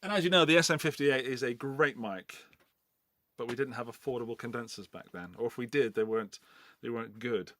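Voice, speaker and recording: A man's voice: mid-range voice, a little fast, fairly bright tone. A middle-aged man from England, hosting a casual live-stream giveaway in a loose, rambling, chatty way. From England, Forest of Dean in Gloucestershire. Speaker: livestream host running a giveaway.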